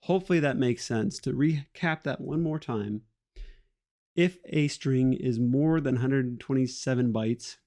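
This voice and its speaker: A man's voice: mid-range voice, a little slow, mellow. A man walking through a screen-share coding tutorial in a casual, self-deprecating way, thinking aloud and using fillers as he goes. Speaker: instructor.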